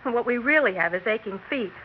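A woman's voice: fairly high pitch, animated, quick pace, natural voice. An old radio recording, dull and lightly hissy, carries a woman acting a young would-be actress. She is earnest, persistent and talkative, stumbling a little as she pleads her case in sixties radio drama style. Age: young adult. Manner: earnest, flustered, stammering plea.

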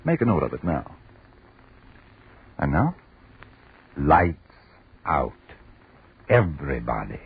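A low-voiced American man announces in 1940s radio style. His delivery is deliberate and emphatic, sweeping widely in pitch. The recording is old and dull, with faint music about it. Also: sing-song, gravelly, breathy edge.

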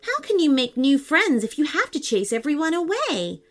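Woman as a high-pitched, earnest mermaid girl. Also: big pitch swings, normal speed. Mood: concerned. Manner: polite, earnest, questioning. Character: polite, brave, caring young mermaid girl.

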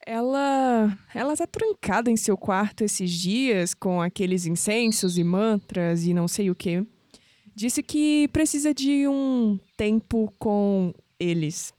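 A woman's voice: mid-range voice, slow pace, mellow, no echo, studio quality, close to an everyday voice. An actress with a Brazilian accent playing an independent, skeptical, wry young woman in an audio drama. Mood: puzzled. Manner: wry, questioning.

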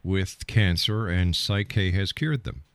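A man's voice: deep voice, slow, no room sound. A man hosting a radio interview, asking probing questions in a direct, challenging manner.

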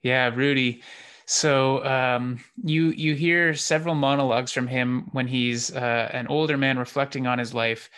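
Male interview guest, conversational and halting, level intonation with little swing. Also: mid-range voice, fairly even intonation, fairly bright tone, clear, resonant tone. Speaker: interview guest, theatre producer and actor. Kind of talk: casual interview chat.

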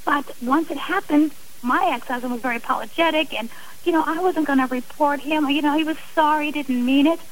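A woman's voice: high voice, fairly quick, low-quality recording. A woman speaking earnestly and emphatically as a guest in a radio interview. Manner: emphatic, impassioned.

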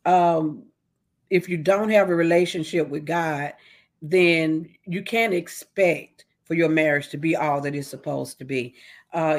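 Measured woman giving faith-based advice. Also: low-pitched. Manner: measured, preachy, counts points. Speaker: guest. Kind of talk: casual interview answers.

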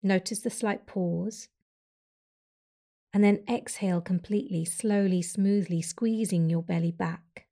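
A woman guiding a breathing meditation with slow, soft, soothing instructions and long pauses. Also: medium pitch, steady tone. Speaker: meditation guide.

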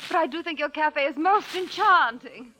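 A melodramatic actress dame, very high swoopy voice, affected and gushy, noisy old radio show. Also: female voice, animated, normal speed, pushed and bright. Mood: gushing, coy. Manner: affected, breathlessly theatrical. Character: affected, gushing glamorous young actress, melodramatic. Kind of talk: 1940s radio acting. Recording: noisy vintage radio recording.

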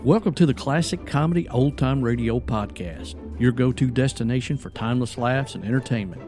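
A man reading a scripted podcast introduction with smooth, even promo delivery. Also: mid-range voice. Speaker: podcast host.

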